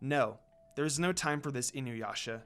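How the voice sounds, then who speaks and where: A man's voice: mid-range voice, a little slow, a bit bright, clear, resonant tone, faint music behind, pitched below the performer's own voice, lightly acted. A man voicing an earnest, kind young woman in a storybook reading.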